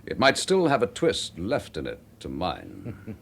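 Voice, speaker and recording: An actor in a radio drama playing a dogged, wary police chief inspector with terse, gruff replies. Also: male voice, sits low, huge pitch swings, measured pace, fairly bright tone, full character voice. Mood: guarded, curt. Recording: faintly noisy radio drama recording.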